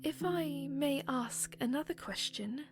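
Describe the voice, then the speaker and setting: A woman voicing an earnest young princess who talks quietly and falters, in a fan audio drama. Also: high-pitched, expressive, a little slow, over music.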